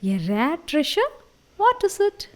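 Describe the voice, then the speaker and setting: A woman's voice: extremely high pitch, huge pitch swings, a little slow, darkish tone, voice pushed up, exaggerated voice. A woman voicing a curious, proud male king in a children's story, with an exclamatory regal manner. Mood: astonished.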